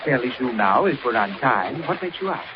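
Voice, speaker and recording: An actor playing a dignified, courteous gentleman passenger, formal, deliberate and emphatic, in 1940s radio style. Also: medium pitch, sing-song, close to an everyday voice. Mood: grave, curious. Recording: very noisy, muffled old radio recording.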